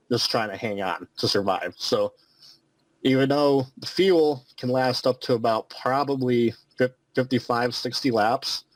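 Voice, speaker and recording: A man giving casual race analysis as a guest, halting with many fillers. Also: fairly low pitch, dry recording, poor audio. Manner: halting, lots of likes and ums. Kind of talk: casual race preview talk.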